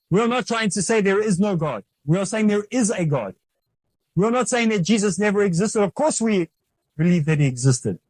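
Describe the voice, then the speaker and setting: A man's voice: high-pitched, a little mellow, husky, dry recording, rough recording. A man hosting a religious series, preaching in an emphatic, repetitive way that urges the listener directly.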